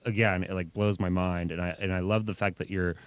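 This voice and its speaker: Enthusiastic low-voiced male co-host. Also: steady tone, fairly quick, clear, resonant tone, no echo. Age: 40s. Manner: enthusiastic, affirming, laughs easily. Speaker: podcast co-host. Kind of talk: casual interview conversation.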